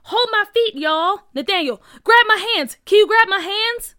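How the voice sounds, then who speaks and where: A woman's voice: on the high side, animated, normal speed, pushed and bright, clear, resonant tone, no echo, clean studio sound. A woman voicing a cocky boy ringleader in a children's story, slangy and swaggering. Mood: urgent. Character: cocky teasing boy ringleader with a hidden heart. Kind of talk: acted children's story dialogue.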